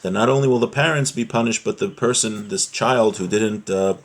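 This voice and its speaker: A man's voice: fairly low pitch, normal speed. A man giving a religious lesson, reading Hebrew text aloud and explaining it phrase by phrase in English. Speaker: religious teacher.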